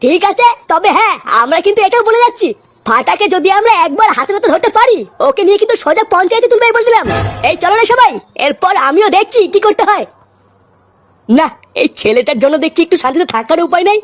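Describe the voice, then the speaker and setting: A woman voicing a blunt, excitable village neighbour in a comedy cartoon, complaining in a colloquial, animated way. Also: very high voice, fast talker, no room sound. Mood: indignant, warning. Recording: faint music behind the voice.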